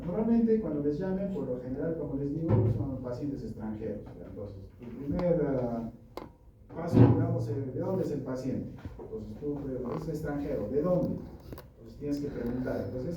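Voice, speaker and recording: Echoey far-off man lecturing. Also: mid-range voice. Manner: conversational, keeps asking "¿no?" and "¿ya?". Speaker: medical lecturer. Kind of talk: informal medical lecture. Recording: echoey room from far off, noisy.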